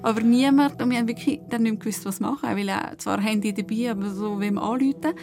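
A woman with a Swiss German accent, a podcast guest casually telling an anecdote in an animated way. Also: fairly high pitch, fast talker, soft background music.

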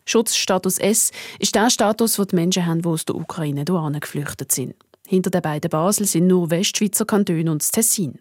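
A woman's voice: fairly low pitch, brisk, a little mellow, dry recording, clean studio sound. A woman with a Swiss German accent reading a scripted radio news report.

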